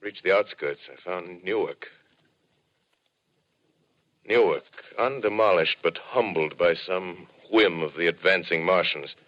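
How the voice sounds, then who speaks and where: An actor playing a weary, educated survivor in a ruined land, speaking in solemn, measured phrases in a 1930s radio drama style. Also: male voice, low voice. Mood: solemn, haunted. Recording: dull, lo-fi 1930s radio recording.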